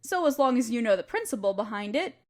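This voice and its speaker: A woman playing a kind, patient alchemist who gently teaches and reassures an apprentice in an intimate audio roleplay. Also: fairly high pitch, very animated, fast talker. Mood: instructive, encouraging. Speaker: voice actor in a scripted audio roleplay. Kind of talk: intimate scripted roleplay, one-sided conversation with listener.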